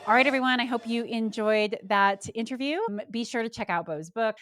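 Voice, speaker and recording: A woman's voice: on the high side, fairly quick, over music. A woman hosting a podcast, speaking in an enthusiastic, friendly way. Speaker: podcast host. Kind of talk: podcast interview and outro.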